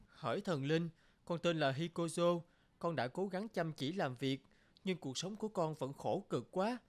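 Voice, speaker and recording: A man's voice: on the high side, a little slow, lightly acted. A humble young woodcutter from a cartoon folktale , played by a man. He speaks earnestly and politely, like someone used to hard work and modest means. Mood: pleading.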